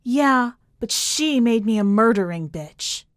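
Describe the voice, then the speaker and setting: A woman's voice: a little high, animated, slow pace, no echo, studio quality, voice pushed up. A woman narrator voicing a bitter, traumatized woman bent on revenge, taunting and emotionally raw, in an audio short story. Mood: defiant, taunting. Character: traumatized, vengeful, defiant woman, bitter and daring.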